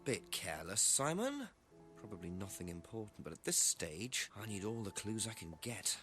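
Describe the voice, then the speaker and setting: Musing, animated detective. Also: male voice, lowish voice, normal speed. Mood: curious. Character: musing male detective thinking aloud on his case. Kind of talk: acted inner monologue in a mystery video game. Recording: music clearly behind.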